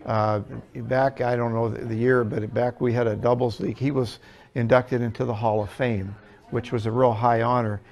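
A man's voice: mid-range voice, level tone, normal speed, a little mellow. An older American man answering interview questions casually, in a plain-spoken way that circles back on itself. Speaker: billiards event organizer being interviewed. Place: seated indoors in a pool hall.

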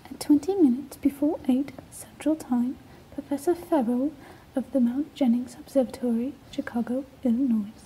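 A woman's voice: high voice, warm tone, husky, low-quality recording. A woman playing a formal radio news announcer reading bulletins in a radio drama.

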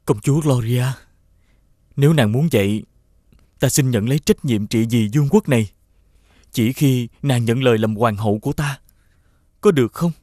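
A man playing a humble, forgiving young prince in an animated fairy tale, speaking formally and earnestly. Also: mid-range voice, moderate pace, long pauses, no echo, studio quality, lightly acted. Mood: humble, tender. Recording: clear music behind the voice.

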